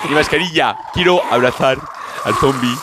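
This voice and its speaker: A man's voice: medium pitch, normal speed, background noise, close to an everyday voice. An actor playing a flamboyant, stubborn male pop star who spouts conspiracy theories, emphatic and repetitive, in a radio comedy sketch. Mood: defiant.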